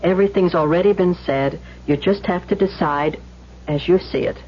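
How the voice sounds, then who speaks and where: A male actor plays an insistent family member in a radio play. The voice is high and firm, pressing for a decision. The recording is dull, with faint noise. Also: normal speed, natural voice. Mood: tense, insistent. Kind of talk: radio drama acting.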